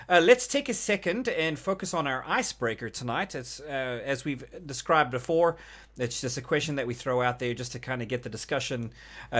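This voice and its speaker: A man hosts a casual roundtable gaming talk. His voice sits at an ordinary male pitch, and he talks in a conversational way as he steers the discussion. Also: a bit bright. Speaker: host of a group discussion show.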